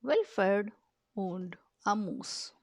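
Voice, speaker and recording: A woman reading a children's picture book aloud with measured, expressive storytelling delivery. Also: mid-range voice, vocal fry, dry recording. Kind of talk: storybook read-aloud narration.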